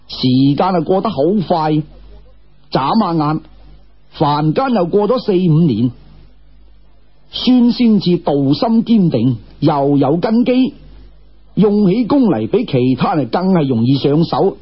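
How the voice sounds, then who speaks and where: A man's voice: high-pitched, breathy edge, highs cut off. A man with a Cantonese accent narrating a folk tale as a traditional audiobook storyteller, with colloquial, dramatic phrasing.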